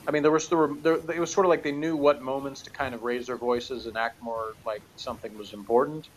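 A man's voice: medium pitch, flat delivery, fast talker, slight background noise, low-quality recording. Rambling man reviewing at home. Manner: rambling, self-deprecating, hesitant restarts. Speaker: show co-host. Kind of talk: casual review chat. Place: home room with bookshelves, indoors. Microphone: headphones on, desk camera.

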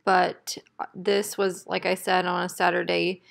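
A woman in her thirties with a creaky voice chats casually as a home cleaning vlogger. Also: on the low side, level tone, measured pace, fairly bright tone. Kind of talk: casual vlog chat. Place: indoors at home.

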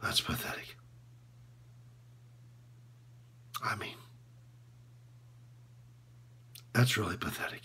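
A man performing a dramatic monologue as a bitter, disillusioned basketball coach, speaking in a halting, repetitive, sardonic way with a husky edge. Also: a little high, very animated, pushed and bright, exaggerated voice. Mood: defeated, ashamed. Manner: halting, repetitive, sardonic, swinging from muttering to outbursts. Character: disillusioned, bitter male college basketball coach confessing corruption. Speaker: actor performing a film monologue.

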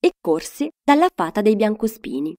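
A woman's voice: medium pitch, sing-song, normal speed, warm tone. A woman storyteller narrating a children's fairy tale expressively.

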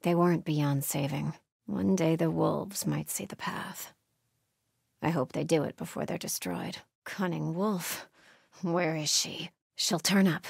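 An actress playing a devout, watchful cult sentry in a video game, speaking in a solemn, measured, pious way with a husky voice. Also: female voice, sits low, steady tone, no room sound, clean studio sound, heavily acted. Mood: solemn vigilance. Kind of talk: video game ambient dialogue.

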